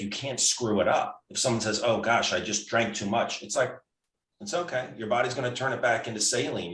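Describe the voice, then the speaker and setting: A man in his sixties, a physician guest, explaining things step by step in a casual, conversational way with frequent restarts. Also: fairly low pitch. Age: 60s. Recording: roomy and distant from mic.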